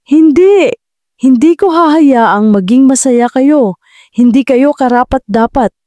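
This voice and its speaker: A woman's voice: high voice, takes it slowly, warm tone, no room sound, pitched above the performer's own voice. A woman voicing a vengeful, unhinged villainess making furious threats in an audio drama. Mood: crazed anger. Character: raving, vengeful villainess screaming threats in fury.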